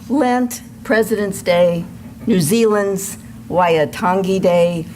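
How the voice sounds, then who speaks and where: A woman speaking as a worship associate, giving a measured, formal welcome and announcements to a congregation in a noisy live room recording. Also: lowish voice, a little mellow. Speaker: worship associate at a church service.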